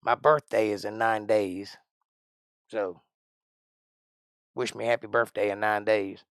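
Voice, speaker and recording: Streamer, man, 40s; gravelly, folksy rambler; dry close mic. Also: fairly low pitch, little pitch movement, takes it slowly, a bit bright, no room sound. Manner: rambling, folksy, thinks aloud. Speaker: live stream host. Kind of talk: casual chat answering live chat.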